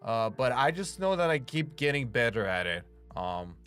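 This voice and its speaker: A man's voice: medium pitch, big pitch swings, normal speed, a bit bright, smooth, faint music behind. A young man from Romania with a slight Romanian accent, chatting casually with stream viewers, rambling with lots of "like" and freely swearing. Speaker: streamer and voice actor.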